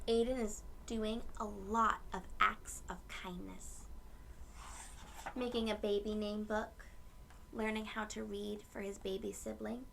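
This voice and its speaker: A woman reading a picture book aloud to young children and explaining it gently in simple words. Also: medium pitch, little pitch movement, a little slow, vocal fry, slight background noise, rough recording. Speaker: children's read-aloud host.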